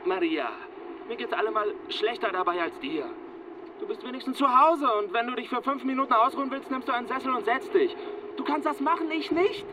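An actor in a German radio crime drama playing a harried, proud, defensive husband on a telephone call. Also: male voice, very high voice, animated, fairly quick. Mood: defensive, irritated. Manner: defensive, insistent. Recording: noisy wiretapped phone call.

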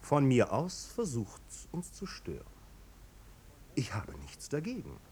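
An actor playing a snobbish, amused aristocrat with a languid, ironic manner in a 1950s German radio drama. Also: male voice, wildly swooping pitch, slow, mellow. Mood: ironic, defiant. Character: snobbish, amused aristocratic marquis, blasé and mocking. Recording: old radio recording, rumbling background noise.

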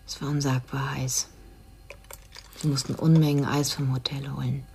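An actress playing a cool, sceptical society woman in a German radio crime drama, speaking in a measured, pointed way. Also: low voice, darkish tone, slight background noise. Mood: subdued.

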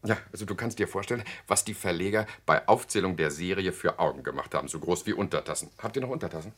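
An actor playing a cynical, worldly journalist and jovial schemer in a radio drama, sardonic and theatrical. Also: male voice, low-pitched, lively intonation, fast, a bit bright, husky, exaggerated voice. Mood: playful, theatrical.

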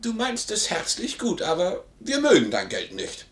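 An actor playing a loyal, gruff, vengeful manservant in a 1950s German radio play, speaking bluntly and theatrically. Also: male voice, sits high, wildly swooping pitch, moderate pace, fairly bright tone, heavily acted. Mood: blunt, mischievous. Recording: roomy 1950s radio studio recording.

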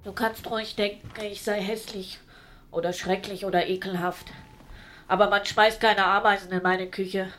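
A woman playing a sad village outcast in a radio play, gently reproachful, forgiving but firm. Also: medium pitch, little pitch movement, normal speed, close to an everyday voice.

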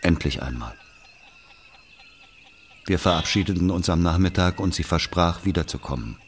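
A man's voice: sits low, moderate pace, a little hiss or hum, close to an everyday voice. A man playing a wistful Algerian narrator recalling love and loss in a literary audio drama. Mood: tender, wistful. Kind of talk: dramatic first-person narration.

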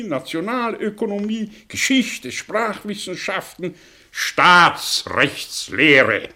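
An actor playing an older, sardonic, brilliant fallen intellectual in a German radio drama, speaking theatrically with rhetorical pauses and swells. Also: male voice, on the high side, pushed and bright, husky, heavily acted. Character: aging, theatrical, sardonic man, brilliant fallen intellectual confessing his past.

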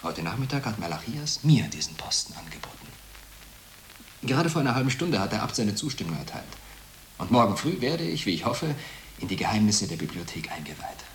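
A man's voice: fairly low pitch, big pitch swings, fairly quick, a little hiss or hum. A male actor playing an evasive, ambitious monk scholar in a radio drama, guarded and self-justifying as he speaks. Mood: proud, secretive.

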